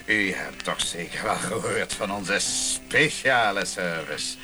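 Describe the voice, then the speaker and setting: An actor playing a fawning, scheming motel keeper in a Dutch radio play, with hesitant, obsequious sales patter. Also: male voice, low-pitched, animated, takes it slowly. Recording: music behind the voice.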